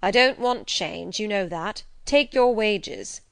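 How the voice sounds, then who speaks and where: A woman voicing a gruff, wry and domineering gentleman in a dramatised reading of a classic novel, speaking emphatically and teasingly. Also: medium pitch, animated, slow pace, no echo, full character voice. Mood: gruff, insistent. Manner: emphatic, theatrical, commanding.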